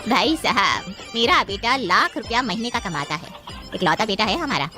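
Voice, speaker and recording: A woman's voice: mid-range voice, animated, moderate pace, music in the background, close to an everyday voice. A woman voicing a boastful, greedy parent of a groom in a cartoon story, haggling with self-importance. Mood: smug, bargaining.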